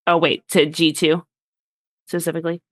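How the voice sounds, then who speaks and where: A player in a tabletop role-playing game, relaxed, asking questions and counting moves aloud. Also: mid-range voice, normal speed, no room sound. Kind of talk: casual chat at a game table.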